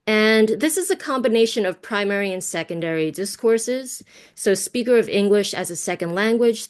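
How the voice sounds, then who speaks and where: A woman whose first language is Cantonese, from the United States, leading an online teaching workshop over a video call in a conversational way, often checking in with tag questions. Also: medium pitch. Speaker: workshop presenter.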